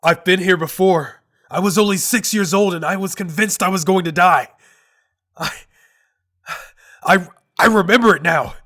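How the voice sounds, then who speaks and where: A man's voice: sits high, moderate pace, pauses often, a bit bright, voice pushed up. A man voicing a panicked adult male pilot who relives a childhood drowning, talking in a frantic, pleading way. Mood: desperate.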